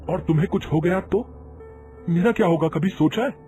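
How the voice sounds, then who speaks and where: A man playing a devoted, emotional husband in an animated moral story, earnest and excitable. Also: high voice, normal speed, soft background music, close to an everyday voice. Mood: anxious.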